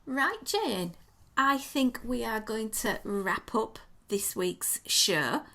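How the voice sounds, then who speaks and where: A woman co-hosting a casual podcast, chatty and gushing, with a voice going croaky. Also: medium pitch, sharp tone. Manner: gushing, enthusiastic about details. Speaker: podcast co-host.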